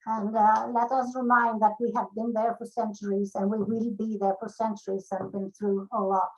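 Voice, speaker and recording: A woman's voice: mid-range voice, little pitch movement, moderate pace, muffled recording. A woman moderating a panel, brief and informal as she introduces the next speaker.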